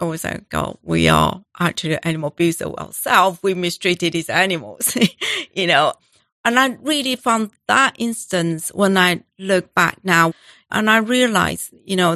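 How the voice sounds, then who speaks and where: A middle-aged woman, telling stories as an interview guest in halting, full of restarts. Her voice sits a little low. The recording is dry and close. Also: no echo. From Taiwan. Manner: halting, non-native phrasing with restarts. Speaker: guest, animal welfare director. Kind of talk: conversational interview storytelling.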